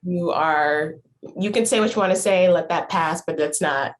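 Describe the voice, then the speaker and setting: A woman's voice: on the low side, moderate pace. A woman hosting a podcast, talking in a casual, reflective way with lots of "like" and "you know" as she thinks aloud. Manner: thoughtful, searching, filler-heavy with 'like' and 'you know'. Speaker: podcast host.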